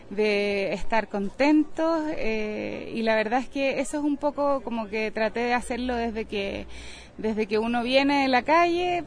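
A Chilean woman in her forties, a guest artist answering interview questions in a bubbly, enthusiastic way. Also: fairly high pitch. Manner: bubbly, enthusiastic, laughs easily.

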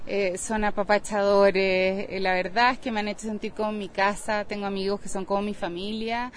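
A woman's voice: medium pitch, little pitch movement, moderate pace, a little hiss or hum. A Chilean woman in her forties, a guest artist answering interview questions in a bubbly, enthusiastic way. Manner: bubbly, enthusiastic, laughs easily.

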